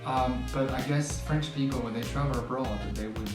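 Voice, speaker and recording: A man's voice: mid-range voice, fairly even intonation, moderate pace. Upbeat male vlog host, roomy sound. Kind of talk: casual interview. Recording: echoey room, mic at a distance, guitar music behind.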